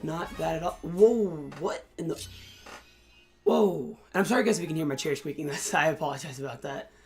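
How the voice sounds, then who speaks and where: A hoarse young man in college hosting a casual, excitable let's-play commentary with lots of fillers. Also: on the high side, fairly quick, soft background music. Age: young adult. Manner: excitable, chatty, many fillers. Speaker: gaming channel host.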